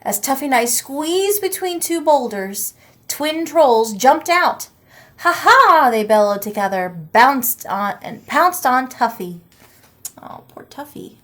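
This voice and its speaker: Slow-paced, higher-voiced woman storyteller. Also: sing-song. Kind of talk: picture book read-aloud, expressive storytelling.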